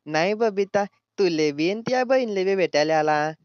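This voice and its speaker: A man's voice: high-pitched, expressive, normal speed, clear, resonant tone. Man acting a brash Ahirani village brother-in-law, muffled. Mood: flirtatious. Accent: Ahirani, rural north Maharashtra. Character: lecherous, brash brother-in-law visiting his wife's family. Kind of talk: crude comedy cartoon dialogue. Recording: slightly dull, lo-fi dry voice track.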